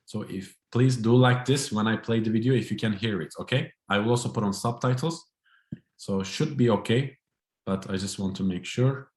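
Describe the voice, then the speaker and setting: A low-voiced man runs a training session over a video call. He explains in a friendly way, his voice echoing in a roomy space. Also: moderate pace, darkish tone. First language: Turkish. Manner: friendly, explanatory, light laughter. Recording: roomy echo.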